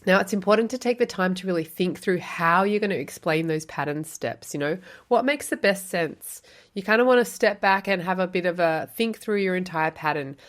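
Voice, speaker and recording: A woman's voice: fairly low pitch, fairly quick. An Australian woman hosting a podcast, explaining quilt pattern writing in a chatty, encouraging way with lots of rhetorical questions. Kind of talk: solo podcast teaching.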